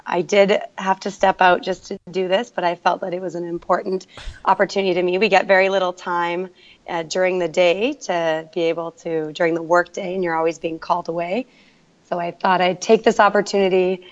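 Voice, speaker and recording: A woman's voice: on the low side, flat delivery, brisk. A woman working as a teacher, telling her story earnestly in a podcast interview. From Canada. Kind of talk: conversational interview. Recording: dull lo-fi remote audio.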